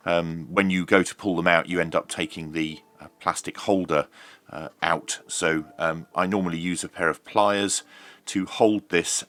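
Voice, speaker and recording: A man's voice: low voice, a bit bright. A man presenting an unscripted, hands-on tutorial, speaking in hesitant, piecemeal phrases with frequent ums. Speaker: presenter of radio review and repair videos.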